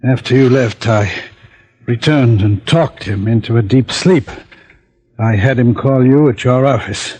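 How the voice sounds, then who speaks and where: An actor playing a resigned, dying professor confessing his crimes in radio drama, with weak, labored, husky speech. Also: mid-range voice, measured pace, warm tone. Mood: weakening, confessing. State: labored, weak from a mortal wound. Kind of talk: 1940s-50s radio drama acting.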